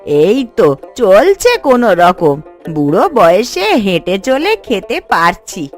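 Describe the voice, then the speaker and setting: A woman's voice: mid-range voice, very animated, slow, soft background music. A woman voicing an elderly, anxious, hospitable village grandmother in a Bengali cartoon story. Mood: welcoming.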